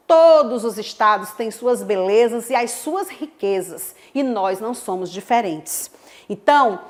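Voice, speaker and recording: A woman from Brazil, a history teacher giving a televised school lesson in an expressive, emphatic way. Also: sits high. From Northeast Brazil.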